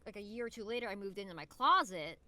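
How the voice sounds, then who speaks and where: A woman's voice: mid-range voice, expressive, fast, bright, forceful tone. A woman voice actor chatting casually as a guest in a podcast interview.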